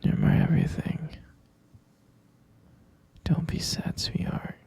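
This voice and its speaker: A man playing an obsessive, delusional male captor in a whispered ASMR roleplay, cooing sweetly and possessively in a breathy, creaky hush. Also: slow, close to an everyday voice. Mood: tender, possessive. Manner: hushed, cooing, coaxing, repeating himself.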